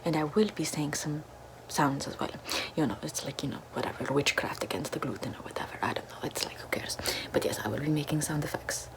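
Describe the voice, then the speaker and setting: A young woman doing a parody ASMR role-play, whispering in a deadpan, mock-mystic way into a close camera in a small bedroom. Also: low-pitched, brisk, rough recording. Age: young adult. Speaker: ASMR creator. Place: small bedroom with floral backdrop, indoors.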